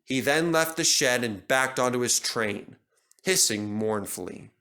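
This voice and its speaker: A man's voice: medium pitch, a little slow, pushed and bright, clean tone. A man reading a children's story as narrator, in a scripted storytelling style. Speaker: narrator, reader.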